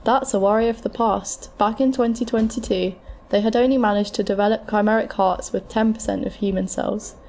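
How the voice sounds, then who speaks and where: Casting: actress as composed, frank scientist advisor, dark soft tone with gravelly fry, scripted explanatory delivery, amateur audio drama. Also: female voice, medium pitch, steady tone, slight background noise, natural voice. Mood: explaining. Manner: measured, matter-of-fact, explanatory. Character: frank, calm futuristic transplant scientist advising a patient.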